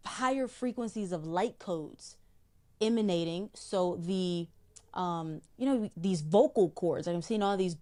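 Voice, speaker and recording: A middle-aged woman with a somewhat low voice talks through spiritual ideas in an interview. She speaks in a measured, explanatory way and often repeats a word as she searches for the next one. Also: dark tone. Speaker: psychic guest.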